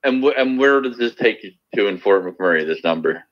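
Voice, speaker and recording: A man's voice: lowish voice, expressive, brisk, dull audio. A man with a Canadian accent arguing heatedly, accusing and cutting in over the other speaker. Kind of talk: heated online argument.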